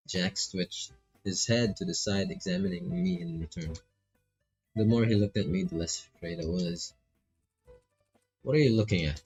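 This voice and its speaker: A man's voice: sits low, vocal fry, a little mellow, husky. A man casually reading a game aloud and tossing in joking, slangy asides as a streamer. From Philippines. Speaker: gaming streamer. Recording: slightly dull, lo-fi mic. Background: faint game music.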